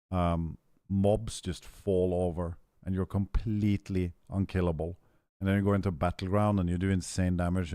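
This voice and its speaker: Young guy streamer, hoarse scratchy throat, deep voice, dry close sound. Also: darkish tone, no echo. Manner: rambling, frequent throat clearing. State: hoarse, losing his voice. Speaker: game streamer. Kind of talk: casual stream chat, answering chat.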